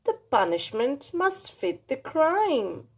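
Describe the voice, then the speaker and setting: A woman voicing a wise, firm old village witch in a storybook, stern and deliberate. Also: high voice, big pitch swings, full character voice. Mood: firm, measured.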